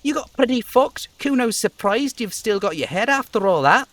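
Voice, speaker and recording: A man's voice: extremely high pitch, lively intonation, moderate pace, fairly bright tone, pitched above the performer's own voice. A man voicing a cocky, hostile, swearing street kid in a video game, a brash braggart who talks of himself in third person. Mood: cocky, taunting. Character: foul-mouthed cocky street kid boy, swaggering and hostile.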